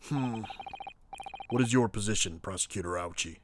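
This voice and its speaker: A man voicing a stern, authoritative courtroom judge in a game fan dub, speaking formally and gravely. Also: on the low side, normal speed, background noise, close to an everyday voice. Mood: solemn. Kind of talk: video game fan voice acting.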